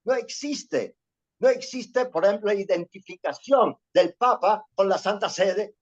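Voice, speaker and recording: A man from Venezuela giving a history lecture, often checking in with listeners and repeating himself as he searches for words. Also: high-pitched. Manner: frequent questioning "¿sí?" and repetitions. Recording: dull lo-fi sound.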